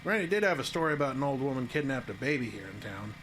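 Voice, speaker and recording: A man's voice: medium pitch, very animated, fast, fairly bright tone, a little hiss or hum. An actor playing a plain-spoken small-town handyman in an audio drama, folksy, skeptical and matter-of-fact. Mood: folksy storytelling.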